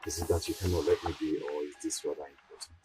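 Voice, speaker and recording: An actor with a Nigerian accent playing a proud young prince, defiant and emphatic, in a royal drama. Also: male voice, very deep voice, level tone, quick pace, music in the background. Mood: resentful, defiant. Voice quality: very husky, raspy. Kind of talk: Nollywood royal drama acting.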